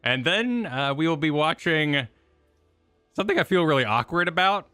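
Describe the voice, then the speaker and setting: A man's voice: fairly high pitch, expressive, normal speed, pushed and bright, clear, resonant tone, no room sound, faint music behind. A man hosting a reaction stream with casual, jokey, self-interrupting commentary.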